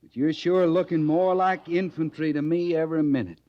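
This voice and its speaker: An actor playing a stern, plain-spoken cavalry sergeant with folksy grammar in an old radio western. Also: male voice, on the high side, steady tone, brisk, dry recording, natural voice. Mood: stern, impatient. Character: gruff, no-nonsense cavalry sergeant, loyal and stern. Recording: muffled vintage radio recording.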